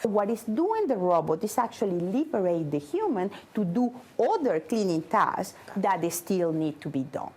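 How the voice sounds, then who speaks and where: A woman's voice: mid-range voice, big pitch swings, normal speed, few pauses, mellow, husky. A woman from Venezuela with a Venezuelan Spanish accent, a native Spanish speaker and robotics business owner, answering interview questions earnestly and sometimes searching for words in English.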